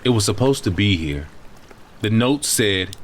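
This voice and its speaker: A man's voice: lowish voice, lively intonation, unhurried, long reverb tail, a little hiss or hum. A man acting a bitter, stubborn, grieving brother in an audio drama. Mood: insistent.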